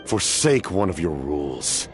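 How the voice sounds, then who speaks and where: Very deep, solemn immortal captain. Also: male voice, sing-song, over loud music, full character voice. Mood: bitter defiance. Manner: grave, formal, deliberate declamation. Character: cursed immortal captain, solemn, vengeful, resolute man. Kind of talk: dramatic scripted video game acting.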